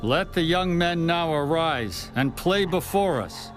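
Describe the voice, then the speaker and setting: Man putting on high-pitched Abner. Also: lively intonation, takes it slowly, music in the background. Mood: commanding. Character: stern male army captain, a warrior pleading. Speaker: voice actor. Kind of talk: dramatized scripture reading.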